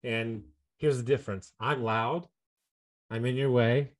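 Man, podcast host, mid-pitched, emphatic, casual coaching talk. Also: unhurried. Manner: energetic, emphatic, says "like" often. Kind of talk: casual interview chat.

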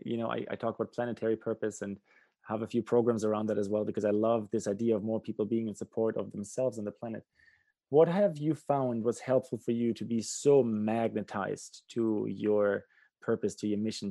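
A man's voice: on the low side, vocal fry, mellow, no echo. A man hosting a conversational interview, reflective and feeling his way through his thoughts.

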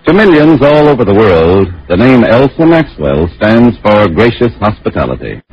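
A man's voice: lowish voice, very animated, moderate pace, slightly rough. A man reading a 1940s radio advertisement as a polished, emphatic commercial announcer. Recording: muffled vintage radio.

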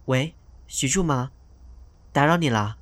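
A man's voice: mid-range voice, unhurried, fairly bright tone, slight background noise, natural voice. A man playing a polite, ingratiating young newcomer actor in an audio drama, speaking in a deferential way. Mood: ingratiating, smug. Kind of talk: modern radio drama acting.

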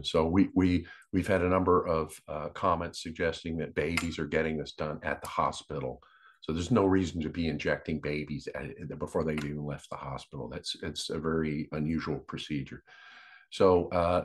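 Man, deep voice, podcast co-host, unscripted explanatory talk, measured, matter-of-fact. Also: breathy edge.

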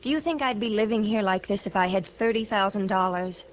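Actress as guarded, weary American frontier widow. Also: female voice, mid-range voice, brisk, close to an everyday voice. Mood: hurt, resigned. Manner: guarded, wounded dignity. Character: proud, weary young outlaw's widow on the frontier. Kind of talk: 1950s radio western acting. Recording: muffled, slightly noisy old radio broadcast.

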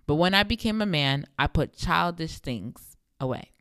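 A young woman with a deep voice hosting a devotional podcast, speaking emphatically and repeating phrases for effect. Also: expressive, moderate pace, fairly bright tone, no echo, clean studio sound. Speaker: podcast host.

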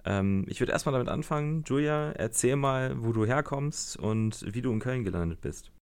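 A man's voice: mid-range voice, expressive, moderate pace, clean tone. A young man hosting a casual podcast interview, talking hesitantly with many fillers and restarts. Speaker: podcast host.

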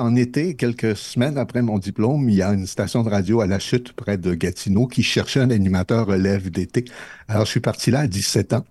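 A man with a Québécois accent, a radio host, telling stories in a relaxed, rambling way with many pauses during a casual on-air chat in a studio. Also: on the low side, no echo. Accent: Québécois French. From Matane, Quebec.

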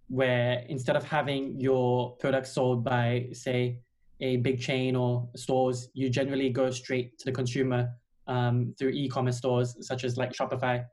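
A young man from Australia, a podcast guest, talking casually with plenty of ums and "kinda". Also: mid-range voice. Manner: relaxed, many ums and "kinda". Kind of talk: casual interview chat.

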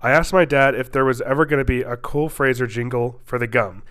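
A young man with a stuffy, congested nose hosts a casual podcast. He rambles, restarts his sentences and fills gaps with ums and likes, at an ordinary middle pitch. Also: a little fast, fairly bright tone, clean tone. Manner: rambling, many restarts and fillers. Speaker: podcast host. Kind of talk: casual chat, reading stories aloud.

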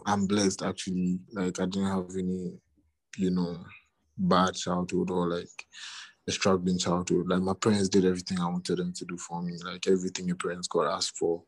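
A man in his twenties with a Ghanaian accent, a musician chatting in an interview, relaxed and often repeating himself. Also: low-pitched, no room sound, rough recording. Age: 20s. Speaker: musician guest. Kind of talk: casual interview chat.

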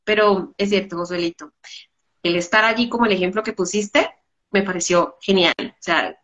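A woman guest on a podcast interview who talks in a lively, casual way and laughs easily. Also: medium pitch, normal speed. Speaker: guest, business consultant. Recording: dull lo-fi sound.

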